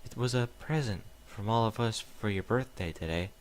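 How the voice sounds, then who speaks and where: A man voicing a tearful, sniffling female pony in his own low voice. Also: moderate pace, a little hiss or hum, natural voice.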